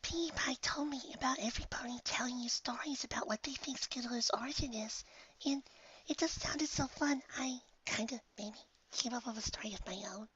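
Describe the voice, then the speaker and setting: A man voicing a timid, tender young female pegasus pony in a soft, breathy, hesitant falsetto. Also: a little fast, lo-fi sound, exaggerated voice. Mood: shy, hesitant. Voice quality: breathy, near-whispering falsetto.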